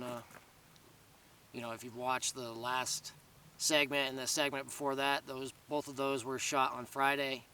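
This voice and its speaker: Rambling man, bright voice, distant mic. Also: mid-range voice, slight background noise. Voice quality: slightly raspy after long talking. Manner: meandering, many fillers and restarts. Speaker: vlogger. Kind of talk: casual rambling monologue to camera.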